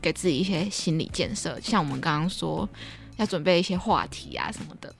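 Very animated young Taiwanese woman, chatting. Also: low-pitched, brisk, gravelly, faint music behind. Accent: Taiwanese Mandarin. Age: young adult. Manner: expressive, exclamatory. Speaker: podcast speaker. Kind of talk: casual chat.

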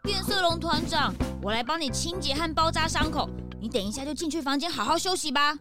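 A woman's voice: sits high, lively intonation, brisk, over music. A woman playing an eager, cheeky young male detective's assistant in a children's radio drama. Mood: worried.

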